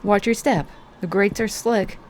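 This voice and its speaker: A woman voicing a hardened female vampire hunter who bosses a rookie around in an audio roleplay, speaking in a low, commanding, teasing way that often sinks to a hush. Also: a little slow, slight background noise, close to an everyday voice. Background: faint ambient soundscape.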